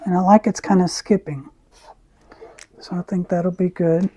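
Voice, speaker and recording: A woman with a raspy voice, who often clears her throat as she chats through an art tutorial. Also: lowish voice, steady tone. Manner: slow, soft-spoken, frequent throat clearing. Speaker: art channel host. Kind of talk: casual art tutorial chat.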